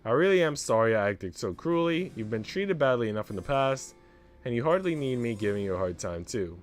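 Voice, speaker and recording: A man's voice: mid-range voice, expressive, brisk, faint music behind, lightly acted. A man voicing a haughty, teasing young mistress with arch, precise, theatrical phrasing, in a visual novel. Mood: earnest.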